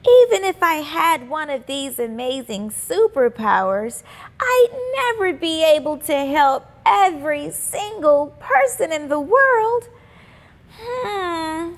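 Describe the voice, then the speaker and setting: A woman's voice: sits high, voice pushed up, heavily acted. A woman voicing an eager, kind and imaginative young schoolgirl from a children's storybook, wondering and exclaiming as she thinks aloud. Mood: pensive.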